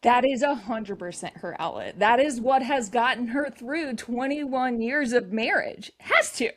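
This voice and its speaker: A woman co-hosting a comedy podcast, talking in a chatty, sarcastic way with quick asides. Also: fairly high pitch, animated. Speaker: podcast co-host. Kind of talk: comedic casual chat.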